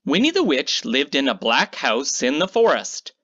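A woman's voice: rumbling low, sing-song, moderate pace, a bit bright, dull audio. A woman reading a children's picture book aloud, theatrically drawing out key words for emphasis. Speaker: children's storybook reader.

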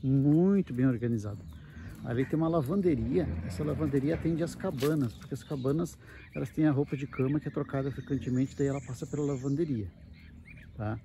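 Male vlogger, creaky relaxed voice, conversational tour-guide delivery, light outdoor noise. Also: medium pitch, fairly quick. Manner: explanatory, conversational with frequent check-in tags. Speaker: camping travel vlogger. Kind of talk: casual walking tour narration. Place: outdoors at a wooded campground. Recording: noisy outdoor handheld recording.

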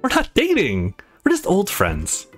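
A man voicing a feisty, hot-tempered young athletic woman in a game, emphatic and indignant, in a high girlish tone. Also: huge pitch swings, a little slow, soft background music, full character voice. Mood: flustered.

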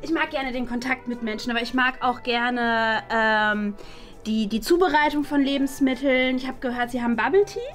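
A woman's voice: on the high side, moderate pace, faint music behind, close to an everyday voice. A woman playing a chatty, keen café job applicant, polite and gushing, in an acted family sketch. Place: small indoor office room.

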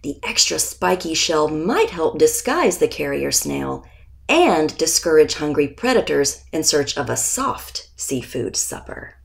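Slow-reading woman with a slightly creaky voice. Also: deep voice. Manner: deliberate, expressive, with pauses before key words. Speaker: author reading aloud. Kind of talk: scripted picture book narration for children.

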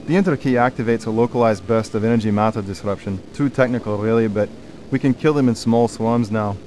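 An actor playing a weary, grieving scientist in a sci-fi video game, confiding as if recording a personal log. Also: male voice, lowish voice, fairly quick, darkish tone, a little hiss or hum, natural voice. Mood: weary, explanatory. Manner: confiding, log-style delivery. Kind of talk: video game cutscene acting.

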